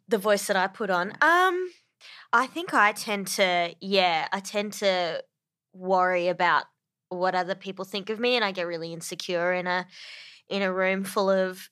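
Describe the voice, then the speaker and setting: Bright-toned, hesitant woman. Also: mid-range voice. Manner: hesitant, self-conscious, many fillers. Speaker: podcast co-host. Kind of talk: casual chat, interviewing.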